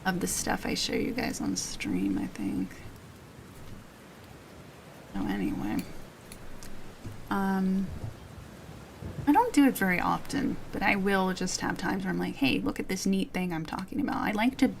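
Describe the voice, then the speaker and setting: A woman chats in a low, quiet voice into a close mic, her tone carrying a gravelly, fry-touched edge. She rambles gently and interrupts herself often. Faint storm and typing noise sits behind her. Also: sing-song, measured pace, pauses often, low-quality recording. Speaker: VTuber streamer. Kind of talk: soft-spoken ASMR chat. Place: home streaming setup with storm ambience.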